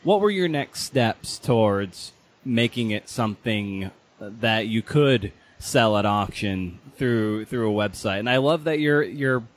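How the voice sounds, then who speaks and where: A man hosting a casual interview podcast, asking long, roundabout questions in a conversational way. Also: medium pitch.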